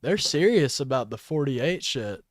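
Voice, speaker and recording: A man streaming live, reacting to a clip with blunt, profane, sarcastic commentary and a fairly high voice. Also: very animated, unhurried, dry recording, clean studio sound. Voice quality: says his voice is high-pitched. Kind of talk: casual political commentary.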